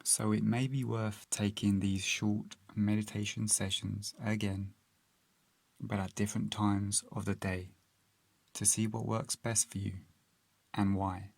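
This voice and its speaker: Slow, low-pitched man guiding meditation. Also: gravelly, dry recording. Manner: calm, gentle, measured delivery with long pauses. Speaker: meditation guide. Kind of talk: guided meditation narration.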